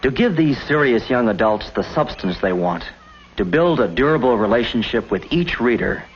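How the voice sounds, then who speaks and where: A man's voice: mid-range voice, expressive, moderate pace, slight background noise. An American man reading scripted narration in the style of a 1950s promotional film. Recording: dull vintage film soundtrack with music. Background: background music.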